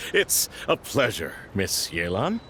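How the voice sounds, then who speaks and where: A man playing a suave, courteous, slyly flattering gentleman in a video game, with flowery, affected politeness. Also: on the low side, takes it slowly, a little hiss or hum, exaggerated voice. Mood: flattering, smug.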